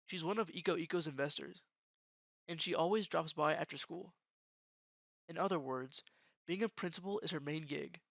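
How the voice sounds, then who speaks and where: A man playing a matter-of-fact young student gofer in a gang, narrating in the first person in a deliberate, slangy way for an anime-style fan dub. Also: fairly high pitch, creaky, fairly bright tone, no room sound, studio quality. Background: music with guitar.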